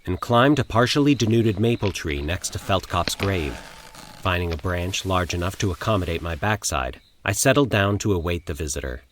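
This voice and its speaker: A man reading a scripted first-person horror story in a formal, literary, measured manner. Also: deep voice, expressive, a little hiss or hum. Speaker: narrator of horror fiction.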